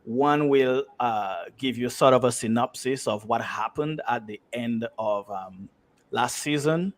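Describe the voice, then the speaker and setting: A man's voice: mid-range voice, unhurried. A man speaking as a guest in a conversational radio interview.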